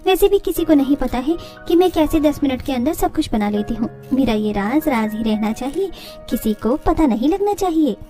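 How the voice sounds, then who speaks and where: A woman's voice: high voice, animated, moderate pace, over music. A woman voicing a cheerful, confident, crafty young daughter-in-law in a cartoon family story. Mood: secretive, pleased.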